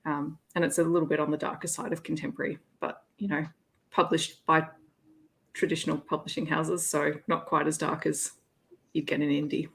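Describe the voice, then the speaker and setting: A woman's voice: sits low, little pitch movement, fairly quick, gravelly, fairly bright tone, low-quality recording. A woman hosting an online readalong, chatting casually about books. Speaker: host of an online readalong livestream. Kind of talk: casual livestream chat about romance books.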